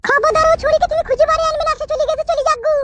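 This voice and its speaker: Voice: very high voice, fairly even intonation, racing, very noisy. A performer voicing a defiant, quarrelsome young wife in a comic cartoon, whiny and argumentative. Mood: defiant, scornful.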